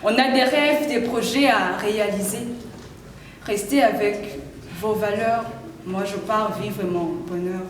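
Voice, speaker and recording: A woman's voice: mid-range voice, takes it slowly, very noisy. A teenage girl delivering a dramatic monologue at a school event in a defiant, declamatory way. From Burundi. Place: large hall. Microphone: far from the microphone. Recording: echoey hall from far off.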